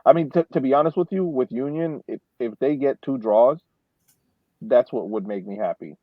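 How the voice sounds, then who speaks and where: A man's voice: mid-range voice, moderate pace, dry recording. A man on a soccer podcast, chatting casually and often repeating words as he makes his point. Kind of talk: casual sports chat. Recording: thin phone-like audio, dry.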